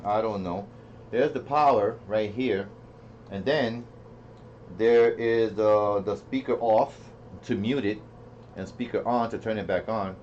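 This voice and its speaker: Muffled lo-fi audio, faint noise, man, mid-pitched voice, casual product reviewer, thinking aloud, repetitive. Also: a little mellow. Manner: rambling, thinking aloud, repeats himself. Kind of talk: casual unscripted product demo. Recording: dull lo-fi sound with faint noise.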